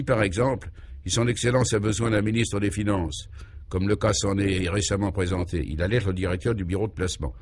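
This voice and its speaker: A man's voice: lowish voice, slight background noise, natural voice. A man voicing a self-assured local who proudly explains an absurd government in a pompous, emphatic way, in a comic radio serial. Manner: pompous, mock-official, emphatic.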